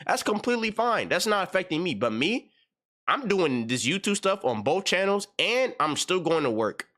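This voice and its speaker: A man in his twenties gives casual reaction commentary, rambling in slang and stumbling over repeated words. His voice sits a bit high and sounds bright and edgy. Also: big pitch swings, moderate pace. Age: 20s. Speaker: reaction channel host.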